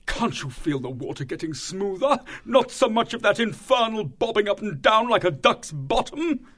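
A man voicing a blustering, pompous old-school gentleman animal in an audiobook. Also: high-pitched, big pitch swings, pitched above the performer's own voice, exaggerated voice. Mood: jovial. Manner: pompous, drawn-out exclamations. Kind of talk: audiobook character voice.

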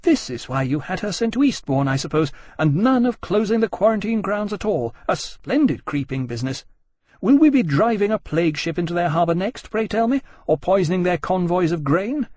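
Man playing a furious, high-pitched woman officer. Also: sing-song, moderate pace, no echo. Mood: scornful, furious. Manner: swearing, sarcastic, indignant shouting. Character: furious sharp-tongued woman naval officer, defiant and scornful.

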